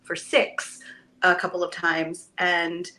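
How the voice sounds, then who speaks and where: A woman, a Broadway actress, chatting as a podcast guest, telling stories with lively enthusiasm and the odd casual swear word. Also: fairly low pitch, takes it slowly. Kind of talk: casual podcast interview chat.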